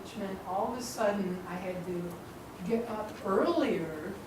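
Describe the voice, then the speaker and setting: Woman speaking far off in an echoey room. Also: medium pitch. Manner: reflective, halting at the start. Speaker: meeting participant answering a question. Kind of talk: impromptu answer at a speaking club. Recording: distant mic in an echoey room with noise.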